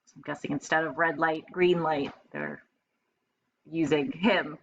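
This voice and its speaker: A woman with a fairly low voice reads a children's story like a teacher. She thinks aloud in simple, instructive asides. The recording is slightly dull and lo-fi. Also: fairly even intonation, measured pace. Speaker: teacher reading aloud to pupils. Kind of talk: storybook narration with explanatory asides.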